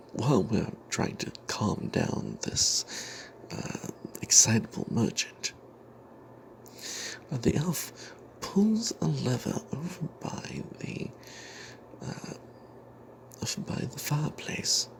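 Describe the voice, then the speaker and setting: A man telling an off-the-cuff fantasy game story in ASMR style, breathy and half-whispered, halting, with playful old-fashioned flourishes. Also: medium pitch, slight background noise. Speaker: storyteller narrating a tabletop game tale.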